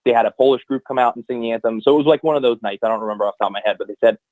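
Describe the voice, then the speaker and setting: A man's voice: medium pitch, rapid-fire, a little mellow, no room sound, highs cut off. A young man, a guest anthem singer, chatting casually on a podcast in long rambling answers full of fillers and restarts.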